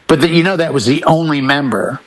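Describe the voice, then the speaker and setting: A man's voice: on the high side, fairly quick. A middle-aged man chatting casually and emphatically about music, telling stories and giving firm opinions as a panelist. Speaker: talk show panelist.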